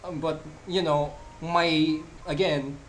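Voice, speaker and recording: A man's voice: a little high, steady tone, slow, a little hiss or hum, low-quality recording. A young man from the Philippines chatting casually with viewers while he draws live, rambling with lots of fillers. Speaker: live-drawing streamer. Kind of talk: casual live-stream chat while drawing.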